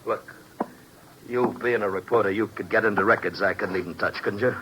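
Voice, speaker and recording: A man's voice: lowish voice, fairly quick, highs cut off, natural voice. An American actor playing a desperate, bitter, down-on-his-luck operator in a 1950s radio drama, agitated and pleading in slangy tough talk. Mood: urgent, confiding. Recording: noisy old 1950s radio recording.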